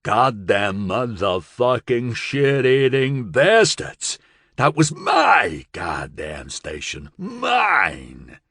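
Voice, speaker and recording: A man's voice: medium pitch, big pitch swings, very slow, a bit bright, slightly rough, no room sound, clean studio sound, full character voice. A man voicing a volatile, foul-mouthed gangster boss in an audiobook, with a blustering, menacing delivery. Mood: raging.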